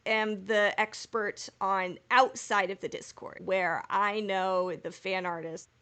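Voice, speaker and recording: A woman's voice: medium pitch, fairly even intonation, slow, bright, forceful tone, smooth. Enthusiastic woman chatting on a fan podcast. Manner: gushing, emphatic repetition of words. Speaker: podcast co-host. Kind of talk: casual fan podcast chat.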